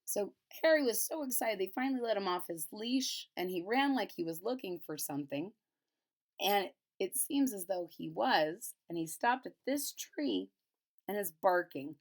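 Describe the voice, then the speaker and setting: Woman reading a children's story aloud. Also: medium pitch. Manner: expressive, explanatory, pausing to retell the story simply. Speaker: storybook reader. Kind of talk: read-aloud of a children's picture book with casual retelling.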